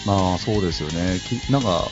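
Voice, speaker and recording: A man's voice: sits low, fairly quick. A man hosting a casual radio chat, with jokey, self-deprecating banter. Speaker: radio host. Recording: dull lo-fi audio. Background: faint music.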